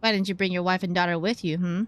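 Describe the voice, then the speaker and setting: A woman's voice: lowish voice, steady tone, fast talker. A woman livestreamer giving casual, playful, gushy reactions while playing a game.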